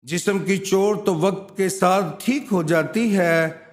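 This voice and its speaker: A man reading scripted tips and sayings as a voice-over narrator, in a measured, instructional way. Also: high voice. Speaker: narrator of a home remedies and quotes channel.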